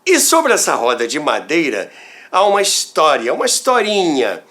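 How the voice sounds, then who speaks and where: An older man with a Brazilian accent tells anecdotes in a theatrical, deliberate way, with dramatic pauses and asides to the listener. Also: a little high, huge pitch swings, noisy background. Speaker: storyteller, former radio worker. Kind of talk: animated anecdotal storytelling podcast narration.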